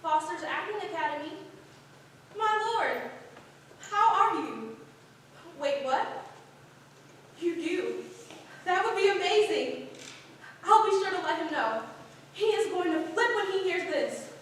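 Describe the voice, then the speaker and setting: Very high, excitable young student played by a woman. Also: slow pace, pauses often, full character voice. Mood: thrilled. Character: young female acting student, excitable and supportive. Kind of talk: staged play reading. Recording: distant, echoey hall, noisy.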